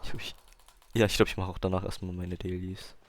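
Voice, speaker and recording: Low, fast-talking male gamer. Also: completely flat delivery, noisy background. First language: German. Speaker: video game player. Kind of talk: casual gameplay chat.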